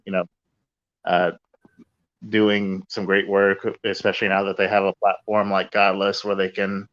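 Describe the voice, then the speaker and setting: Male podcast panelist, deep and flat in delivery, halting and casual, over dull lo-fi online audio. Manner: hesitant, halting delivery with searching pauses. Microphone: online call. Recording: dull, lo-fi internet call audio.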